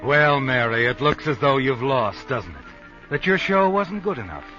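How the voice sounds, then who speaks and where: Knowing, bright-edged American suspense narrator. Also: male voice, mid-range voice, expressive, moderate pace, faint music behind. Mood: taunting, ominous. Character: knowing, taunting male narrator, an unseen omniscient observer. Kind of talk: 1950s suspense radio narration addressed to the character. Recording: muffled 1950s radio recording.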